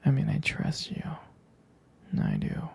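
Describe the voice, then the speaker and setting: A man playing a tender, jealous, devoted boyfriend in an intimate ASMR roleplay, speaking haltingly in a breathy, husky whisper. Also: a little mellow, close to an everyday voice. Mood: jealous, hesitant. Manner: hesitant, intimate, halting delivery.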